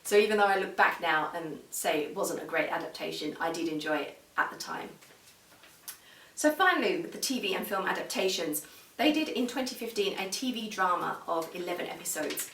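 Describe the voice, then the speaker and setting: Female reviewer, measured and conversational, lowish pitch, recorded at a distance from the microphone. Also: lively intonation, a bit bright, a little hiss or hum. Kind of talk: casual video review. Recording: echoey room, distant microphone.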